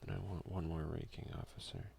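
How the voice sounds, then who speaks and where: A man doing a soft-spoken, unhurried ASMR game playthrough, reading text aloud with casual asides. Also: extremely low pitch, flat delivery, creaky, a little hiss or hum. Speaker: let's play streamer.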